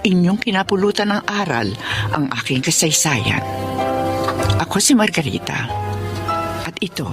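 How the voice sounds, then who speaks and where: A woman playing a gentle, repentant mother in a Filipino radio drama, speaking earnestly and with emotion. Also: fairly low pitch, lively intonation, normal speed, pauses often, over loud music. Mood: calm, reflective. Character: repentant mother telling her life story, gentle and tearful.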